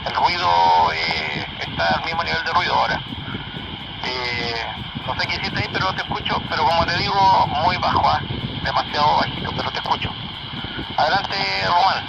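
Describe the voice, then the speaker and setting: Chilean male radio operator, raised gravelly voice, distant and dull over very noisy two-way radio, casual exchange. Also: on the high side, expressive, moderate pace. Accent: Chilean Spanish. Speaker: amateur radio operator on a contact. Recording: muffled, very noisy walkie-talkie radio reception.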